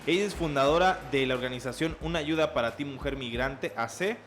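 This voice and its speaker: Male podcast host, halting delivery. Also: medium pitch, a bit bright, slight background noise. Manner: hesitant, many "eh" and "pues" fillers. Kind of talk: podcast interview.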